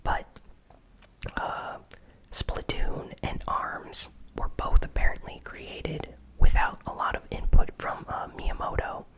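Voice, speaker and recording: Whispered ASMR gaming news host. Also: takes it slowly. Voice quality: soft unvoiced whisper. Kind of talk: whispered ASMR news recap with casual commentary.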